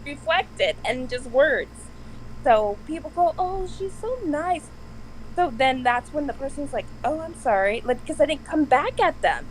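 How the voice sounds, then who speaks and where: A woman with a soft voice chatting casually on a video call, laughing often as she tells stories. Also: sits high, animated, a little slow, a little hiss or hum, rough recording. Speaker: stream host. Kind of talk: casual group chat.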